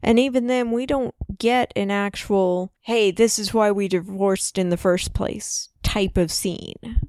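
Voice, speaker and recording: A woman chats casually as co-host of a TV review podcast. Her voice is crisp and smooth at an average pitch. The recording is dry and free of room sound. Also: steady tone, measured pace, darkish tone, no echo.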